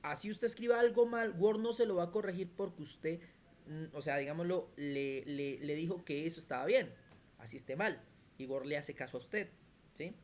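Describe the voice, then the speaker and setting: A computer skills teacher, explaining step by step in an online class and checking in often with quick questions. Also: mid-range voice, a little mellow. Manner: thinks aloud with restarts while demonstrating on screen.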